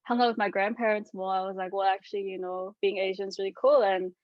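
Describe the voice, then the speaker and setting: A woman's voice: mid-range voice, fairly even intonation, fast talker, no echo. A Singapore-born woman, chatting casually as a podcast co-host. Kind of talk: casual chat. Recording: dull dry podcast audio.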